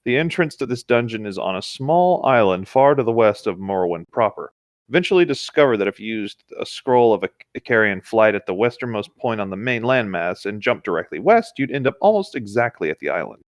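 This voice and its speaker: A man's voice: lowish voice, big pitch swings, fairly quick, no echo. A man reading a story aloud as co-host in a casual, conversational way, with dry asides.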